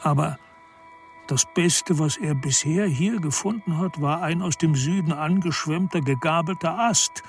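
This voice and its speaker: A man's voice: fairly high pitch, a little slow, soft background music. A man delivering scripted literary narration for a radio drama.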